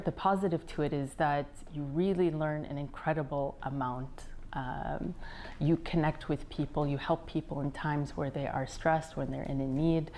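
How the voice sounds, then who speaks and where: American woman in her 40s, deep-ish voice, measured interview answers with lots of ums. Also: a little mellow, slight background noise. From United States. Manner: measured, thoughtful, frequent ums. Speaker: interview guest, physician and company founder.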